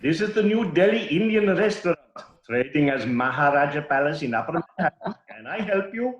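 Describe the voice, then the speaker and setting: Man acting a high-voiced Indian restaurant order-taker. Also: big pitch swings, a little fast. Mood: polite, businesslike. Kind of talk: stage play reading over video call. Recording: roomy, muffled video-call audio.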